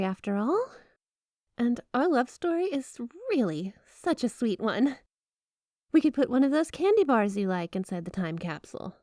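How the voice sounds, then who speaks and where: A woman's voice: fairly high pitch, warm tone, no room sound, studio quality. A woman playing a flirty, obsessive yandere wife in an ASMR roleplay, gushing and coy with a possessive edge under the sweetness. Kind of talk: ASMR roleplay, addressing the listener as spouse. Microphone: close, dry microphone.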